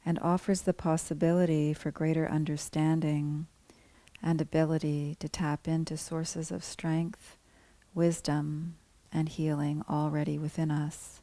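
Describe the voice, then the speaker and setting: A woman guiding a body scan meditation as a teacher, speaking calmly in measured, gently spaced phrases. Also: low voice, no room sound. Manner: calm, unhurried, gently measured phrasing with pauses. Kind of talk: guided meditation, scripted instruction.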